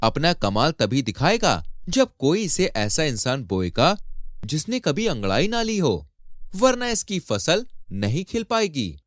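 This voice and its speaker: A man's voice: a little high, very animated, a little slow, no room sound, clean studio sound, pitched above the performer's own voice. A man voicing a clever, respectful royal courtier in a storybook tale, with a courteous, persuasive manner. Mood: sly, respectful. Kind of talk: animated Hindi storybook acting.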